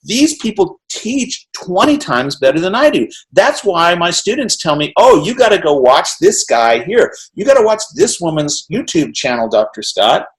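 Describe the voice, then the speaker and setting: Energetic middle-aged man, higher voice. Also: normal speed, few pauses, poor audio. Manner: energetic, rambling, peppered with "right?" and laughs. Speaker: interview host. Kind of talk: animated casual conversation.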